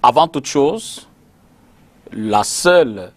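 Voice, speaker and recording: Measured male talk show host. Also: mid-range voice, expressive. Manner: measured, concedes points, hesitant fillers. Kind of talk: moderating a political debate. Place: TV studio.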